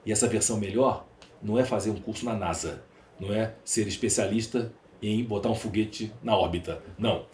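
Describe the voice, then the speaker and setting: A Brazilian man with a fairly low voice talks at length as an interview guest. He is emphatic and rhetorical, stacking lists and questions. The room is echoey, with faint noise behind him. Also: brisk, a little mellow. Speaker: podcast guest, entrepreneur. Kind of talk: conversational interview monologue.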